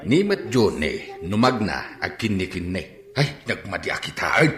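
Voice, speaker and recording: Grumbly suspicious ilocano dad, really deep but sharp voice, radio drama, roomy. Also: male voice, sing-song, a little slow, exaggerated voice. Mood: grumbling. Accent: Filipino, Ilocano. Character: grumbling, suspicious father nitpicking at a wedding.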